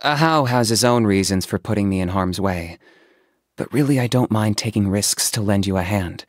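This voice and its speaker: A pragmatic young hunter from a video game, voiced by a man, calm and self-contained. His voice sits fairly low and is recorded dry, with a deadpan, sardonic edge and a reserved, matter-of-fact delivery. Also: normal speed, no echo, close to an everyday voice. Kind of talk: video game character voice acting.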